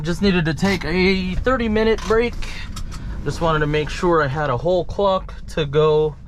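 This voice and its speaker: A man chatting casually as a trucker vlogger while driving, with a playful, jokey, slangy way of talking. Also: medium pitch. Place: truck cab on the road. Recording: loud road and engine noise.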